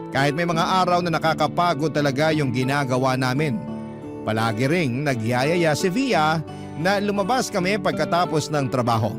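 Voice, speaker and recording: A Filipino man narrating a first-person love story as a radio host reading a listener's letter. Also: medium pitch. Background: background music.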